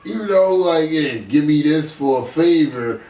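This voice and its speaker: A man's voice: fairly high pitch, expressive, unhurried, darkish tone. A man hosting a casual podcast, asking probing questions in informal slang. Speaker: podcast host interviewing a guest. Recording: roomy, slightly distant, faint noise.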